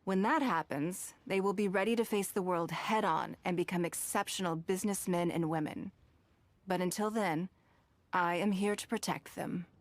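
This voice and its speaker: A woman playing a poised, authoritative and protective noblewoman in a video game, measured and formal. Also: lowish voice, dry recording, lightly acted. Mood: reflective, assured.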